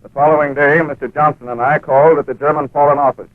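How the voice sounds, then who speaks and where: An actor playing an earnest American embassy attaché who narrates with firm authority in 1940s radio drama style. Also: male voice, a little high, steady tone, quick pace, no room sound, natural voice. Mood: earnest narration. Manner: authoritative, emphatic. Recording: tinny, dry, narrow-band 1940s radio recording.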